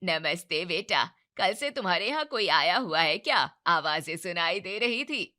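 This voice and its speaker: A woman voicing a nosy middle-aged neighbor who speaks slowly and teasingly. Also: on the low side, expressive, pushed and bright. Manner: slow, drawn-out, teasing.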